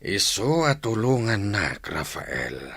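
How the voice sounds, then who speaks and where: A man playing a scheming, menacing gang leader with a husky voice and an Ilocano accent, conspiratorial, in a radio drama. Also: lowish voice, sing-song, takes it slowly, fairly bright tone, no room sound, heavily acted.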